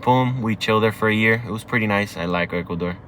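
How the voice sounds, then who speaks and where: A man's voice: lowish voice, fast, fairly bright tone, slight background noise. A young man from Cuba whose first language is Spanish, telling his story casually and candidly from inside a car. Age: young adult. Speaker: guest.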